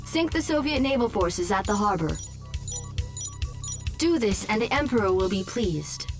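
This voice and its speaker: A woman's voice: mid-range voice, very animated, unhurried. Game announcer lady, clipped updates, music blasting behind. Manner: crisp, clipped status announcements.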